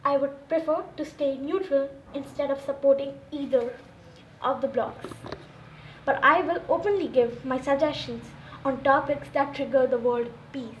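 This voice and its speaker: A woman's voice: high-pitched, moderate pace, close to an everyday voice. A woman playing a solemn male Indonesian leader, reading a formal speech earnestly for a radio roleplay. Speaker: student performer in a school audio roleplay. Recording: muffled, roomy, noisy home recording.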